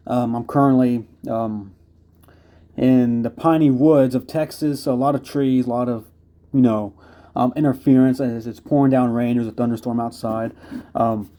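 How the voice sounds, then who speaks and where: A man's voice: mid-range voice, dark tone. An American man giving a casual, unscripted product review as a video host, explaining things conversationally. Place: indoors.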